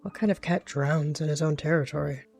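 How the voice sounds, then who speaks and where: Brooding warrior cat for animal roleplay, acted by a man: quiet, restrained, secretly resentful, music underneath. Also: a little high, fairly even intonation, brisk, vocal fry. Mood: muttered scorn.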